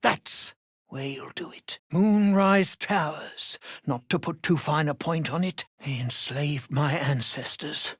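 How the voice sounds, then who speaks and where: A weary gnome rebel from a fantasy video game, speaks earnestly and without hurry. The voice is a touch husky and sits very close and dry, with folksy, measured phrasing. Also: medium pitch, no echo. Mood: conspiratorial, guarded. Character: deep gnome rebels, weary but grateful freed captives. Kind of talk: video game character dialogue.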